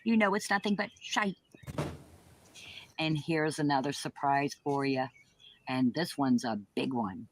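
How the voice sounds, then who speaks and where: A woman's voice: deep voice, measured pace, pauses often, a little hiss or hum. A woman delivering a taunting, foul-mouthed monologue.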